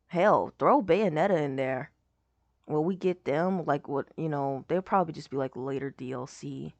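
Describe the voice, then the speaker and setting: Hoarse young woman, low rambling voice. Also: normal speed, darkish tone, dry recording. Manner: rambling, lots of "like" and trailing off. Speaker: YouTuber reacting to a game showcase. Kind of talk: casual reaction commentary.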